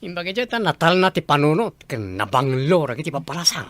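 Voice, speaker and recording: A man's voice: fairly high pitch, big pitch swings, brisk, fairly bright tone, dry recording. A man acting a selfish, brash young husband who gambles and chases women in an Ilocano audio drama, with an Ilocano accent. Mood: grumbling. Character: selfish young husband and father, irresponsible womanizing cockfight gambler.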